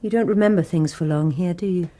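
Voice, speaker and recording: An actress playing a proud, weary nun in charge of a mission, with a clipped, restrained manner, in a radio drama. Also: female voice, fairly low pitch, quick pace, dark tone, close to an everyday voice.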